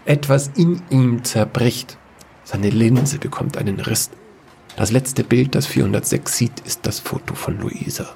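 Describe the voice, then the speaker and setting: A man's voice: medium pitch, a little slow, creaky, mellow, a little hiss or hum. A man reading scripted narration for a science-fiction fairy tale audio story.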